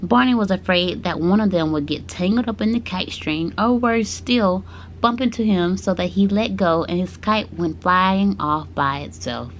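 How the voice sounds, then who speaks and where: A woman reading children's stories aloud to her baby, speaking gently and breaking off for playful asides. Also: lowish voice, moderate pace, a little hiss or hum, poor audio.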